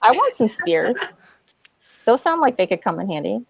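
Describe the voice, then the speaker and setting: A woman's voice: mid-range voice, big pitch swings, normal speed, creaky, husky, dry recording. A woman chatting casually as a player in a tabletop role-playing game.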